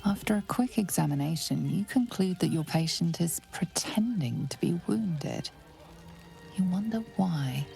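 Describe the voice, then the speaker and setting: An actress playing a wry, measured fantasy game narrator in scripted delivery. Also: female voice, on the low side, expressive, creaky, warm tone, soft background music, heavily acted. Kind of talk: scripted second-person narration.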